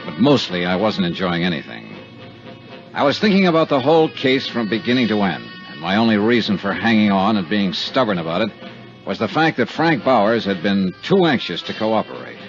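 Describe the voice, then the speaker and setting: An American actor playing a stubborn, sardonic investigator in a 1950s radio detective drama, with a wry, clipped, hard-boiled delivery. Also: male voice, fairly low pitch, sing-song, fairly quick. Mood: wry, brooding. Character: stubborn, sardonic male insurance investigator narrating his case. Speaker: lead actor and narrator. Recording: dull 1950s radio recording with music.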